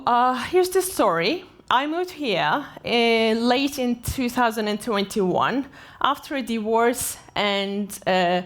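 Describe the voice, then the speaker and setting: A woman in her forties from Turkey gives a conference keynote as a personal story, speaking haltingly with many fillers and restarts. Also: mid-range voice, fairly bright tone. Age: 40s. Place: conference stage.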